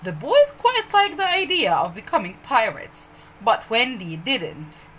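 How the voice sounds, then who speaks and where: Female narrator for children's tales, fairly high voice, hugely varied intonation, dramatic and deliberate. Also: slight background noise, poor audio. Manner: dramatic, expressive emphasis. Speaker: storyteller, teacher. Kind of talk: scripted children's storybook narration.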